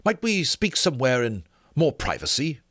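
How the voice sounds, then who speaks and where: A grave, learned gentleman, played by a man reading a novel aloud. His voice sits high and swings widely in pitch. He explains things with formal, old-fashioned courtesy. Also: wildly swooping pitch, normal speed, slightly rough, no echo, studio quality, full character voice. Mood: polite, discreet. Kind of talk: dramatic audiobook character reading.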